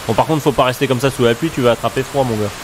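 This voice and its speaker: A man's voice: medium pitch, very fast, noisy background, natural voice. A man playing a grumbling, nostalgic office administrator in an amateur audio drama, talking with exasperated sighs. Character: grumbling middle-aged male office administrator.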